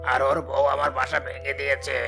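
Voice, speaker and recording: A man's voice: medium pitch, monotone, fairly quick. A man voicing a vengeful, scheming snake who fawns on its master, in a cartoon with music behind. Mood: pained complaining. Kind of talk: dubbed cartoon dialogue. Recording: loud music bed under voice.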